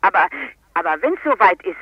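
A woman's voice: fairly low pitch, big pitch swings, a little fast, slightly rough, no room sound. An actress in a radio play playing a stubborn older woman who presses her case urgently through a telephone filter. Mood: urgent, persistent. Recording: dull telephone-like sound, dry.

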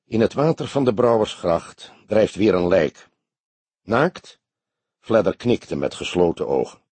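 A man's voice: mid-range voice, darkish tone, husky, dry recording. A man narrating an audiobook as scripted dramatic storytelling.

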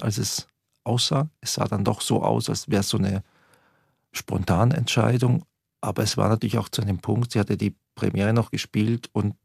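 Gravelly man reminiscing. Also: on the low side, a little mellow, no echo. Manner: reflective, searching for words. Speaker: interviewee. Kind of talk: reminiscing interview. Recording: dry close studio recording.

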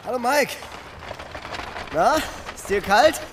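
A man's voice: very high voice, lively intonation, slow pace, full character voice. An actor playing a working-class milkman on his morning round in a radio drama. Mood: friendly, thirsty. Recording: vehicle ambience noise behind.

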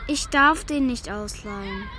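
A woman's voice: mid-range voice, a little slow, sharp tone, a little hiss or hum. A female performer playing a hesitant young child in a children's audio drama. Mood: reluctant. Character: reluctant young child, protective of a pet.

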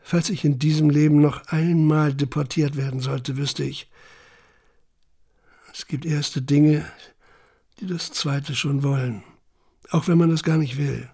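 Gravelly, brooding camp survivor. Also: male voice, on the high side, fairly even intonation, a little slow, long pauses, dark tone, heavily acted. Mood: brooding, questioning. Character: haunted male camp survivor, brooding, wounded, self-questioning. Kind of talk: literary first-person monologue in audio drama.

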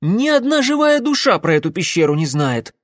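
A male narrator puts on a young merchant's son for an audiobook. He is pitched well above the reader's natural voice, anxious and servile, yet puffed up with boastful self-importance. The sound is close and dry. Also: very animated, moderate pace, no echo. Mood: eager, assuring. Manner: fawning, pompous, self-important. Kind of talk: audiobook character voice.

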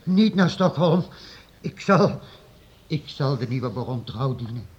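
An actor playing a cowed, servile manservant in a radio play, halting and stammering. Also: male voice, mid-range voice, animated, lightly acted. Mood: frightened, pleading. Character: cowed, frightened male servant, stammering and servile. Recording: muffled, dull old radio recording.

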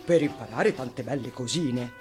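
A woman's voice: rumbling low, normal speed, over music, voice pushed down. A woman voicing a stuffy grown-up policeman in a storybook, condescending, officious and lecturing. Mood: patronising. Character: stuffy, patronising adult policeman, insistent and exasperated.

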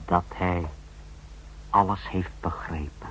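An actor playing a hard, ruthless secret-service subordinate in a 1960s radio drama, reporting in a clipped, matter-of-fact manner. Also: male voice, deep voice, steady tone, slow pace, breathy edge, exaggerated voice. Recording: dull 1960s radio recording.

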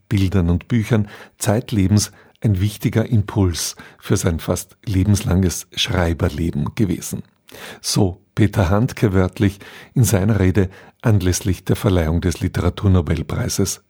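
A man's voice: low voice, warm tone, slightly rough. A man giving scripted announcements as a radio presenter. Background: music.

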